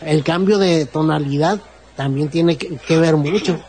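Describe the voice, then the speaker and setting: A man's voice: fairly high pitch, a little mellow, breathy edge. A man with a Baja California Mexican accent, explaining things at length in a casual group conversation and acting out local sayings. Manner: explanatory, drawn-out, acts out sayings.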